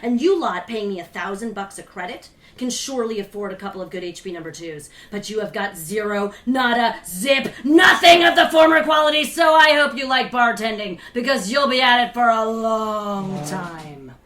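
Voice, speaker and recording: Satirical radio play: actress as scolding, foul-mouthed female teacher, bright and animated, roomy sound with faint noise. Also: on the high side, moderate pace, hardly any pauses. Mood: furious, scornful. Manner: sarcastic, scolding. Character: exasperated, foul-mouthed female writing teacher and journal editor. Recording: echoey room with faint noise.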